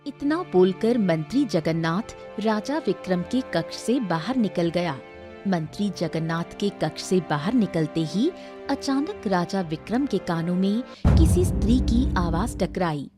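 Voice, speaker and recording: A woman's voice: lowish voice, expressive, a little slow, music in the background. A woman narrator reading a scripted story.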